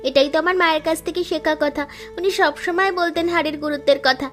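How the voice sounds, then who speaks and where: A young woman voicing a lively, modern younger daughter-in-law in a cartoon, chirpy and affectionate. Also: high-pitched, quick pace, soft background music. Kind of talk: cartoon voice acting.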